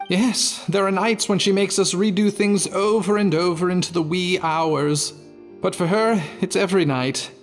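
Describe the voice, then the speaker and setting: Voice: medium pitch, steady tone, normal speed, close to an everyday voice. Anime game role, acted: earnest kitchen junior, mid-range voice, sincere explaining tone, music behind.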